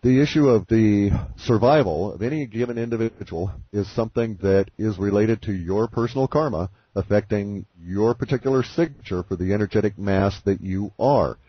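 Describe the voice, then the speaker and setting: Husky-voiced man explaining slowly over a call. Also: lowish voice, no echo. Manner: deliberate, philosophical, speculative. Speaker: guest speaker on a conference call. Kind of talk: measured explanatory monologue. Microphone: phone line. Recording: muffled phone-call audio.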